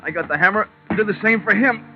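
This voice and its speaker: An actor playing a wisecracking, hard-boiled investigator in an old radio crime drama, sardonic and clipped. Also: male voice, high voice, quick pace, close to an everyday voice. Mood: tense narration. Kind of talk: 1940s-50s radio detective acting, first-person narration. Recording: muffled old-time radio recording with music.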